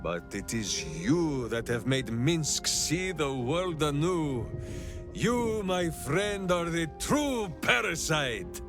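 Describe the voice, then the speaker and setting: A man's voice: on the high side, sing-song, slow, exaggerated voice. A man playing a big-hearted, simple warrior ranger in a video game, bombastic and oddly formal. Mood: musing, earnest. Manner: bombastic, refers to himself in third person, oddly formal phrasing. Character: big-hearted, simple heroic ranger warrior who talks through his hamster. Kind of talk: theatrical video game character acting. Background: background music.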